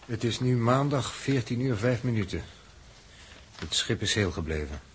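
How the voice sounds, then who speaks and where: An actor playing a sober spaceship navigator in a 1960s radio play, speaking in a measured, matter-of-fact way. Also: male voice, medium pitch, expressive, natural voice. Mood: sober, ominous. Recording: noisy old 1960s radio recording.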